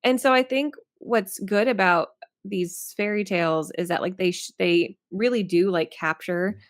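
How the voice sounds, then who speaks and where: A woman's voice: mid-range voice, moderate pace, no echo, clean studio sound. A woman chatting casually as a podcast co-host, with an informal, giggly manner full of filler words. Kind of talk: casual chat.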